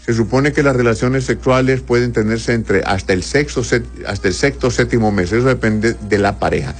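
A man speaks in a steady middle-pitched voice, explaining medical matters to listeners in an unhurried, patient manner. He sounds like a radio doctor on a call-in show. Faint music runs softly behind him. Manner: calm, explanatory, reads messages aloud.

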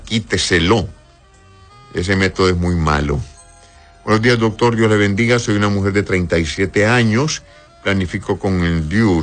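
A man's voice: deep voice, a bit bright. A man speaking as an on-air doctor, reading listeners' questions aloud and answering them in a blunt, explanatory way with frequent checking tags. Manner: explanatory, often adds a checking "right?" tag.

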